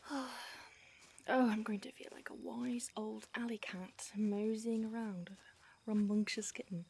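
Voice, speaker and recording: A woman's voice: mid-range voice, gravelly, a little mellow, slight background noise. A woman voicing an aloof, prickly female vampire who is centuries old, speaking in a dry, sardonic whisper for an audio roleplay.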